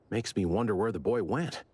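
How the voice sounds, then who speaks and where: A sympathetic, thoughtful community gardener in a video game. His voice is fairly low and slightly husky, recorded very dry. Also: darkish tone, dry recording. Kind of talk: video game dialogue acting.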